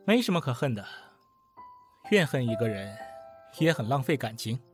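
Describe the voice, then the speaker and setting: An actor playing a cool, sardonic young roommate in an audio drama, composed yet pointed and needling. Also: male voice, mid-range voice, big pitch swings, normal speed, music in the background, lightly acted. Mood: cool, disdainful. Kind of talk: Chinese audio drama acting.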